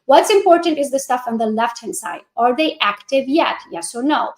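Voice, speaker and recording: A woman with a Hungarian accent coaches in a lively, chatty way and talks right to her viewers. Her voice sits high, and the sound carries a roomy echo. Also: moderate pace. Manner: energetic, chatty, addresses viewers directly. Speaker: real estate coach. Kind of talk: coaching walkthrough on a live stream.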